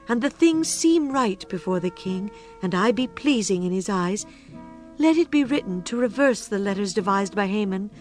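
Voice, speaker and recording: Young queen, woman performer: pleading, deferential, formal, mid-range voice, scripture audio drama over soft music. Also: medium pitch, lightly acted. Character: young queen, pleading earnestly for her people.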